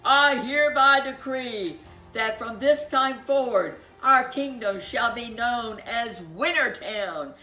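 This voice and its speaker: A woman voicing a jovial storybook king who makes grand, pompous, booming proclamations. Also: expressive, slow pace, sharp tone, echoey room, faint music behind, heavily acted, rough recording. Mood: grand, jubilant.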